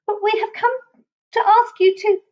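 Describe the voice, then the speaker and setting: A woman's voice: very high-pitched, steady tone, normal speed, darkish tone, highs cut off, pitched above the performer's own voice. A woman voicing a small, earnest and timid young animal hero in a children's storybook.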